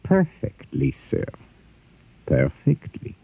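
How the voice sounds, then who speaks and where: An actor playing a skeptical, coldly deferential ship's commander in a 1950s radio drama, stiff and formal. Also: male voice, mid-range voice, wildly swooping pitch, slow, vocal fry, full character voice. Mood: cold obedience. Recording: narrow-band old radio recording.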